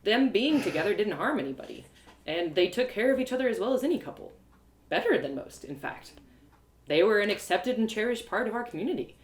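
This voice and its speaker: A woman reads a fantasy tale aloud in the first person. Her delivery is theatrical and very animated, with pitch moving freely around a medium register. The sound is roomy and echoing. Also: brisk. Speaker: storyteller and audio drama performer. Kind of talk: expressive first-person fantasy story narration, live reading.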